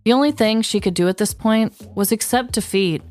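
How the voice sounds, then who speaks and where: A woman's voice: on the high side, a little fast, a little mellow, soft background music. A woman podcast host narrating a scripted comedic story.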